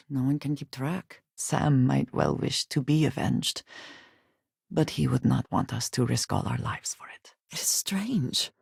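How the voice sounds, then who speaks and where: Serious guarded space explorer lady from a video game, formal careful wording, quietly intense, super dry studio sound. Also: extremely low pitch, no room sound, full character voice. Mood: dry, wry. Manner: formal, measured diction with few contractions.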